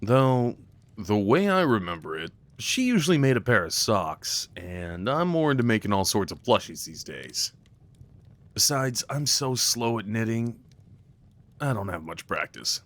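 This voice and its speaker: Affectionate boyfriend male actor, lowish voice, animated delivery; tender, teasing ASMR roleplay. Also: measured pace, heavily acted. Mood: relaxed, rambling. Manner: tender, lightly teasing, rambling. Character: affectionate, playful, crafty boyfriend cuddling his partner.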